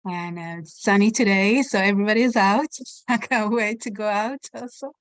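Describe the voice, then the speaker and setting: A woman's voice: medium pitch, normal speed, dry recording. A woman from Tanzania introducing herself cheerfully on a video call. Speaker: event host and panelist. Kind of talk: casual self-introduction on a call.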